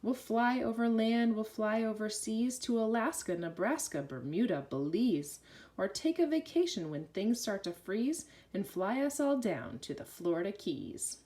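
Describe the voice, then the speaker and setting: Expressive woman reading a rhyming picture book. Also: fairly high pitch, unhurried. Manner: expressive, sing-song rhyming delivery. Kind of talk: rhyming children's read-aloud.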